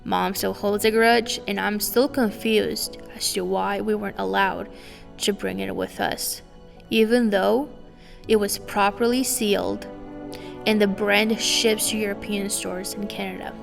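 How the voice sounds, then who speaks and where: A woman in her twenties, born in Romania and a native Romanian speaker, calmly reading her own story as scripted narration. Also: mid-range voice, a little slow, vocal fry. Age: 20s. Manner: calm, reflective, read aloud line by line. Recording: long reverb with faint music behind.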